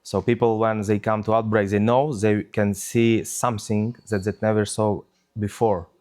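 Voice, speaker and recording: Man, low-pitched, hesitant, lots of fillers, interview guest. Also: little pitch movement, moderate pace, a little mellow. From Ukraine. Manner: hesitant, many uh fillers, restarts. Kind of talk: casual interview answers.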